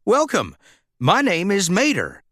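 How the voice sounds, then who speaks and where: A man voicing a friendly, excitable cartoon tow truck with folksy country talk. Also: high voice, measured pace, dry recording, clean studio sound, pitched above the performer's own voice, exaggerated voice.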